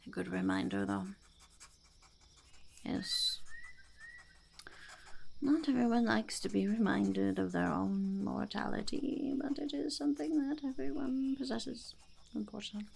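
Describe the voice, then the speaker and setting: A woman voicing a blunt, earnest female goblin shopkeeper in an ASMR roleplay, whispering and speaking softly with a gravelly creak and musing to herself. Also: a little high, very animated, measured pace, pauses often, heavily acted. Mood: musing, approving. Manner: musing, hesitant, repeating phrases to herself.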